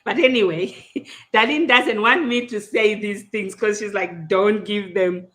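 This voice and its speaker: A woman with a Zimbabwean accent, a native Shona speaker, hosting an online panel in a deliberate, explanatory, conversational way. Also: mid-range voice, fairly bright tone. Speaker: podcast host. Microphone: video call.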